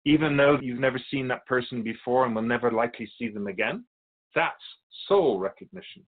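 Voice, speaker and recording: A man playing a calm otherworldly being in a radio drama interview, explaining humanity to humans in a measured, knowing, didactic way. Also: mid-range voice, narrow, tinny audio, lightly acted. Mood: assured, teaching.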